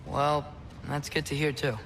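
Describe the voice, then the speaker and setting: A man's voice: a little high, level tone, unhurried, creaky, noisy background. A young man playing an earnest, secretive teenage boy in a video game, casual and a little hesitant. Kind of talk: naturalistic video game cutscene acting.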